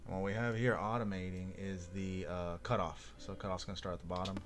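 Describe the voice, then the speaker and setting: Low-pitched man casually live streaming, music behind. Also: flat delivery, a little fast. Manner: informal, thinking aloud while demonstrating. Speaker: live streamer and software developer.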